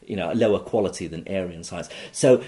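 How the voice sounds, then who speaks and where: Man, deep voice, interview guest, grew up in iraq, explanatory, lots of restarts. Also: fairly quick, warm tone. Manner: explanatory, many fillers and restarts. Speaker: author, guest. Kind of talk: interview conversation.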